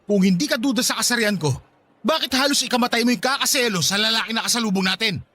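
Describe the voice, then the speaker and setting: An actor playing a defensive, exasperated husband in radio drama dialogue, with a sarcastic, argumentative manner. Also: male voice, very high voice, fast talker, sharp tone. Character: exasperated, defensive husband arguing with jealous wife.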